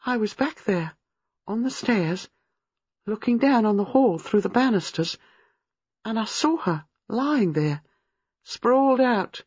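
A woman reading a storybook, playing a distraught young married woman who is frightened, halting and agitated. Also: medium pitch, breathy edge, no echo, dull audio, pitched above the performer's own voice. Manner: agitated, halting, rushing in bursts.

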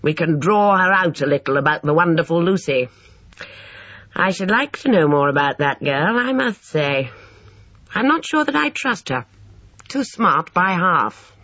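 A narrator voicing a scornful, suspicious English gentleman with an offhand, sardonic manner in an audiobook. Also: medium pitch, sing-song, unhurried, no echo, dull audio, pitched above the performer's own voice, full character voice. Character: scornful, suspicious, scowling English brother in tweed.

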